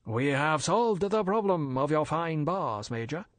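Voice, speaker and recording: A man acts out an older Chinese merchant in an audiobook story. He is bland, suave and endlessly courteous, choosing his formal words slowly and deliberately. The sound is dry and intimate. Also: on the high side, very animated, no room sound, pitched above the performer's own voice. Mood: politely informative. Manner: measured, deliberate, formal, politely evasive.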